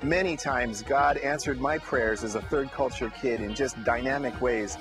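A man who grew up as a missionary kid, calmly speaking about his ministry in a mission video. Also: mid-range voice, normal speed. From grew up in Sri Lanka, India and Egypt. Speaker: missionary school counselor. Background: music.

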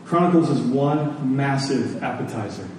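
A man's voice: medium pitch, lively intonation, moderate pace, a little mellow. A man preaching a sermon to a congregation, using rhetorical questions and repeated phrases for emphasis. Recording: very echoey room, distant mic, faint noise.

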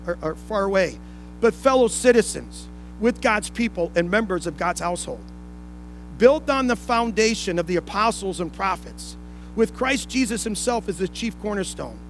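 A man's voice: sits high, poor audio. A man preaching a sermon to a congregation, explaining point by point with rhetorical questions and repeating key phrases for emphasis. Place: indoor room, speaking to a congregation.

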